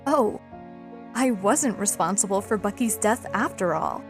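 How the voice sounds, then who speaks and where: A woman's voice: medium pitch, animated, unhurried, over music. A woman playing a gloomy, self-pitying teenage outcast in an anime fan dub, with a brooding, introspective delivery. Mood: wistful, resigned.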